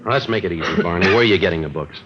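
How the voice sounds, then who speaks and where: An actor playing a terse, deadpan police detective who also narrates in a 1950s radio crime drama. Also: male voice, sits low, rapid-fire, very noisy, close to an everyday voice. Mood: firm. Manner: deadpan, matter-of-fact. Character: terse, deadpan male police sergeant working undercover. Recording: muffled old radio broadcast recording.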